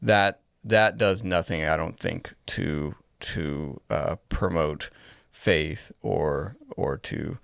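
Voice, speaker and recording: A man with a low, gravelly voice speaks as a podcast co-host in a close, dry sound. He is deliberate and conversational, often pausing partway through a thought to choose a word. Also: fairly bright tone, dry recording. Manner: deliberate, pausing mid-sentence to find words. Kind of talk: casual discussion of faith and culture.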